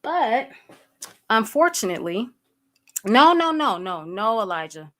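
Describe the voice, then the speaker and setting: A woman's voice: a little high, sing-song, unhurried, fairly bright tone. Blunt, fired-up woman livestreaming. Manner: blunt, fired-up and profane, with frequent fillers; greets viewers by name and addresses them directly. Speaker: livestream host. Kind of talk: casual livestream talk to chat.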